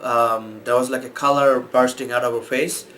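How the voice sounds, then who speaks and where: A young man from Bangladesh talks through photo tips in an easygoing, meandering way, his voice sitting at an ordinary middle pitch. He pauses often with ums and restarts his sentences. A faint hiss lies under the recording. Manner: relaxed, rambling, many fillers and restarts. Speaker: photographer and host. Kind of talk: casual explainer talk.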